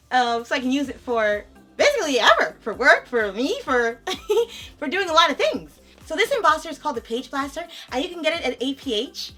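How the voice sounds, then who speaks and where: A young woman vlogging an unboxing in a bubbly, giggly way, her voice a little raspy from a sore throat. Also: high voice, sharp tone. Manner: bubbly, giggly, calls viewers "lovelies". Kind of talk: casual unboxing vlog.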